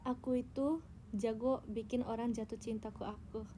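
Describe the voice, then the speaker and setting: Young Indonesian woman, slightly high voice, mellow tone, playful livestream chat, faint background noise. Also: fairly even intonation, normal speed. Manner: playful, teasing, tells tall tales. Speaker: idol livestreamer. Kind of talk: casual livestream chat to viewers.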